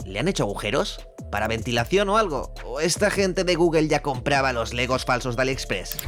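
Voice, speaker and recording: A man's voice: medium pitch, animated, fairly quick, fairly bright tone, natural voice. An actor from Spain playing a chatty young male YouTuber explaining pop-culture trivia, addressing viewers directly and informally. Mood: chatty, engaging. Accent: Spanish from Spain. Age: young adult. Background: music.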